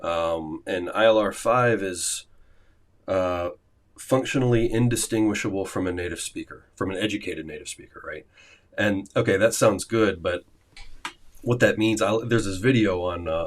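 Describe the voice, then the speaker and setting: Deep-voiced man hosting a casual livestream. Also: normal speed. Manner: rambling, many fillers and restarts. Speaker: host of a language-learning livestream.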